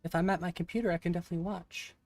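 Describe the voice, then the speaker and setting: A streamer with a raspy voice chatting casually with viewers during a live game stream. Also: mid-range voice, fairly even intonation, rapid-fire, a little mellow, dry recording. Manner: chatty, reacts aloud and answers chat. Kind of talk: casual live-stream chat while gaming. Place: home room.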